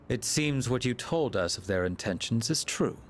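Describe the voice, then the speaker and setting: A man playing a courteous, condescending villain with formal, measured menace in an anime game. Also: medium pitch, slight background noise. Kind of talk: anime game dub acting.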